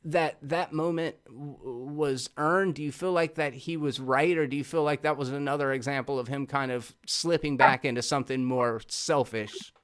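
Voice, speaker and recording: A man's voice: medium pitch, fairly even intonation, moderate pace, hardly any pauses, a bit bright, clear, resonant tone. A man hosting a casual film review show, effusive and swearing freely as he talks. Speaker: host of a movie review show.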